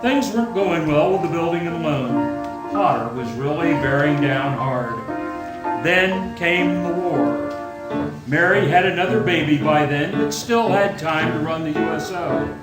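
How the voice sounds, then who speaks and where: A solemn heavenly narrator, played by a man, telling a story in a fairly high voice. Loud plucked-string music runs behind it in a roomy theatre sound. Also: animated, a little slow. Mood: storytelling. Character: solemn heavenly senior angel recounting a life. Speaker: stage actor narrating a radio play. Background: loud plucked-string instrumental music.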